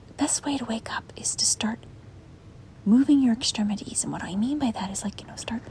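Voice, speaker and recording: Woman playing a whispering, hesitant, gentle demon girl. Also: a little high, fairly quick, lightly acted. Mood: encouraging. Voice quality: breathy whisper. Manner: hesitant, rambling, soft-spoken. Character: gentle, apologetic, reluctant young female sleep paralysis demon. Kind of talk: whispered ASMR roleplay. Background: rain and wind.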